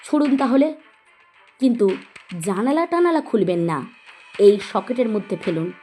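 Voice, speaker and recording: A woman's voice: a little high, expressive, warm tone, soft background music, close to an everyday voice. A woman acting a resolute, principled young woman in a Bengali audio story, speaking firmly and earnestly. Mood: urgent warning. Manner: firm, earnest, measured.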